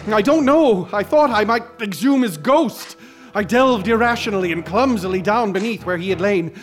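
A haunted male investigator with a notably high voice in a radio drama. He pushes his account forward with earnest urgency, and clear music plays under his words. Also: expressive, moderate pace. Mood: urgent. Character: shaken young male investigator, obsessive and haunted. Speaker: narrator and investigator recounting events. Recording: scored with clear background music.

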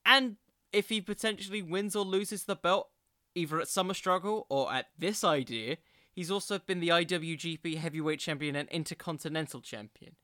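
A podcast host with a bright, forward voice of medium pitch delivers casual solo commentary, recorded close and dry. The delivery is informal and self-deprecating, like someone chatting directly to listeners. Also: moderate pace, no room sound.

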